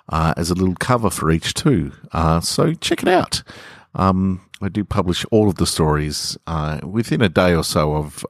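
Deep-voiced Australian man, podcast storyteller. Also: dry recording, clean studio sound. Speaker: podcast host and author reading own stories. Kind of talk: casual intro and measured literary story reading. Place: garage.

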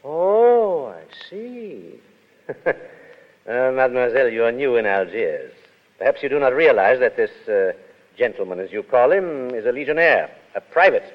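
Actor as pompous officer, echoing old radio. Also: male voice, mid-range voice, big pitch swings, moderate pace, natural voice. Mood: icy, courteous. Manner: formal, imperious, clipped courtesy. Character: pompous, jealous adjutant of the Foreign Legion. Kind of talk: 1930s radio drama acting. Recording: dull, echoey 1930s radio broadcast.

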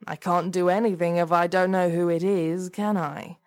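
A woman's voice: fairly low pitch, fairly even intonation, normal speed, a little mellow, natural voice. Audiobook role: dismissive male boss read by a woman, blunt and offhand, placating his staff.

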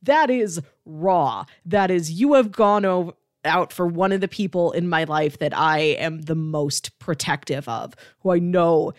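A woman's voice: on the low side, measured pace, few pauses, no echo, clean studio sound. A woman co-hosting a podcast, chatting casually and earnestly about television with emphatic, discursive phrasing. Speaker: podcast co-host. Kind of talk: casual chat discussing a TV series.

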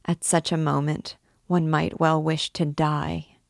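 A woman's voice: deep voice, level tone, slow, creaky, dry recording, full character voice. A young girl in love, voiced by a woman narrator for a storybook. She is naive and adoring, with a tender, childlike, pleading way of speaking, recorded dry. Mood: blissful. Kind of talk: audiobook character dialogue.

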